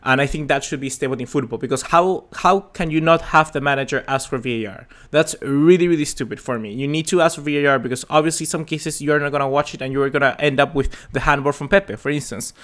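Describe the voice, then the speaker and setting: Passionate young Peruvian football reactor. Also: male voice, a little high, fast, few pauses, a bit bright. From Peru. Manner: passionate, exasperated, rambling with restarts. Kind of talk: live match reaction chat.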